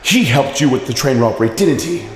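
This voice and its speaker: An actor playing a calm, commanding male detective who lays out his reasoning in a radio drama. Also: medium pitch, echoey room, big-room sound, close to an everyday voice. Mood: explaining. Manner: measured, explaining.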